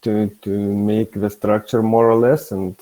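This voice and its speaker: A man whose first language is Lithuanian, taking part in an online roundtable and speaking haltingly as he searches for words. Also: lowish voice, flat delivery, mellow. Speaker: roundtable participant. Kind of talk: casual online roundtable chat. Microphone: video call.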